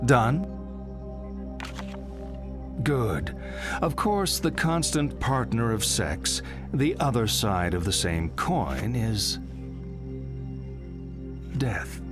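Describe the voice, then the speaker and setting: A man's voice: medium pitch, sing-song, drawn-out words, long pauses. An actor playing a provocative, impatient male psychiatrist in a video game, theatrical and pointed, asking rhetorical questions. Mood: sly, needling, then brooding, seductive. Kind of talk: dramatic video game acting. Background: music.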